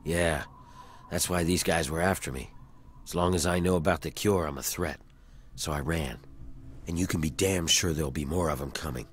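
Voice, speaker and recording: A man's voice: extremely low pitch, normal speed. An actor playing a weary male fugitive in a video game who asks an outsider to help free his enslaved people, speaking urgently and persuasively with a wry edge. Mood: earnest. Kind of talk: video game character dialogue. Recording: game audio under faint noise.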